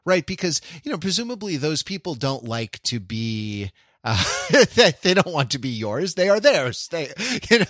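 A man's voice: medium pitch, fairly bright tone, no room sound, clean studio sound. A man from Los Angeles co-hosting a podcast, talking in a rambling, digressive way and correcting himself as he goes. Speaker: podcast co-host. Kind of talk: casual chat.

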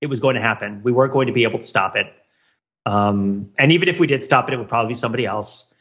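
A man's voice: mid-range voice, very fast, fairly bright tone, dull audio. A man co-hosting a podcast in casual analytical discussion, thinking aloud in long runs and often checking in with "right?". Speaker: podcast co-host.

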